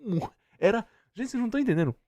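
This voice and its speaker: A man's voice: high voice, expressive, rapid-fire, dark tone, no echo. A Brazilian man reacting casually and with strong opinions, emphatically repeating himself for stress. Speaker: reaction streamer.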